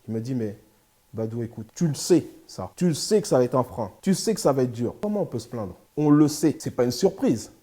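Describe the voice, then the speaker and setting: Fast-talking man with a dark voice. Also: medium pitch. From France. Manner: steady, emphatic, builds points in repeated short phrases. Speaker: interview guest, lawyer and sports agent. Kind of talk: candid interview talk.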